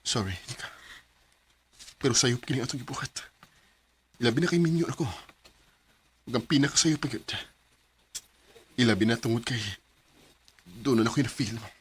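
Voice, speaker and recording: An actor playing a conflicted married man in a radio drama, hesitant and apologetic, with a husky voice and a Cebuano accent. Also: medium pitch, normal speed, pauses often, slight background noise, close to an everyday voice.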